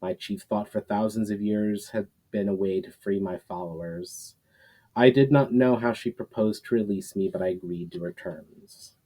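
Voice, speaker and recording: A man's voice: fairly low pitch, moderate pace, dark tone, natural voice, rough recording. Man reading as weary, repentant fallen warlord. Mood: reflective.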